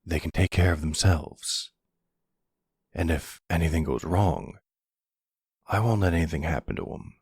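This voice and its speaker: Man acting as gruff mafia boss, middle-aged, very low husky voice, doting and protective, intimate ASMR roleplay, close dry sound. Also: darkish tone, no room sound, heavily acted. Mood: reassuring. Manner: slow, affectionate, uses pet names.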